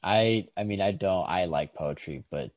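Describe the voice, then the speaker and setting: A man's voice: sits low, measured pace. A man chatting casually as a podcast co-host, hesitant and often restarting his thoughts. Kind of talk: casual chat about literature. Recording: dull, muffled podcast audio.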